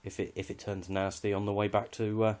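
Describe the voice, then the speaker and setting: A man's voice: fairly low pitch, flat delivery, a little fast, darkish tone, clean tone. A British man giving a casual, unscripted walkthrough of his kit in a matter-of-fact, slightly hesitant way. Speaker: hobbyist presenter showing radio kit.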